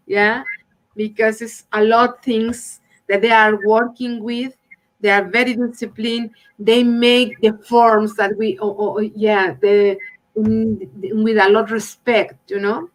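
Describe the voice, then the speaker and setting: A woman co-host with a slightly high voice who talks slowly. She hesitates and searches for her words. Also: little pitch movement, low-quality recording. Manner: hesitant, many fillers and restarts. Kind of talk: casual introduction.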